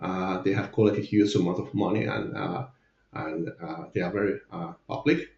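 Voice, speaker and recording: A man's voice: sits low, steady tone, normal speed, darkish tone, reverberant, highs cut off. A man with a Finnish accent, a guest in a candid podcast interview, speaking hesitantly with many fillers. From Finland. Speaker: guest, company CFO.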